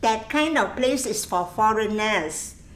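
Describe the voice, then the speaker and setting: A woman's voice: a little high, expressive, slow. An actress with a Singaporean accent playing a sassy, big-hearted nightclub dancing girl in a radio play, teasing and blunt. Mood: indignant. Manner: teasing, blunt, Singlish phrasing.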